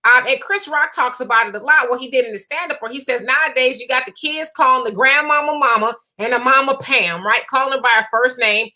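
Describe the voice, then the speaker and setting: Bright, chatty woman in her forties. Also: high voice, a little fast, hardly any pauses. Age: 40s. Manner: animated, chatty, makes points with rhetorical "right?". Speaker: co-host. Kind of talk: casual live discussion.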